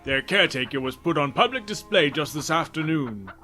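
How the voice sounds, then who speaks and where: Man playing a sardonic, animated former high priest. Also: brisk. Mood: cool, official. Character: sardonic male former high priest, coolly menacing official. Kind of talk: audio drama acting.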